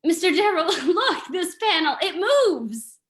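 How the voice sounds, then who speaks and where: Proper, anxious radio-drama heroine , female actor: high-pitched, bright, theatrical delivery. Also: big pitch swings, slow pace, full character voice. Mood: polite, uneasy. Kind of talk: old-time radio drama reading.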